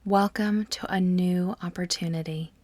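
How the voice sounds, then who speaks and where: A woman narrating a guided meditation in a soft, breathy, near-whispered voice, soothing and measured, with deliberate pauses between phrases. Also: on the low side, steady tone, no room sound.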